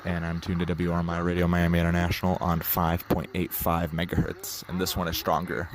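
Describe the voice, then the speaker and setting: A man's voice: low-pitched, completely flat delivery, a little fast. A teenage man giving casual spoken commentary as a radio hobbyist, recorded outdoors. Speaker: shortwave radio hobbyist narrating a bandscan. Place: outdoors at night. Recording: clear background noise.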